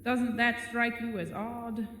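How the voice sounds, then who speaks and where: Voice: mid-range voice, level tone, a bit bright. A performer voicing a courteous spider monster, echoing cavernously. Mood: curious, critical. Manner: polite, wry, measured phrasing. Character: bored, lonely, courteous giant cave-dwelling spider monster, male. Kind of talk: audio drama acting. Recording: echoey cavern-like reverb, faint noise.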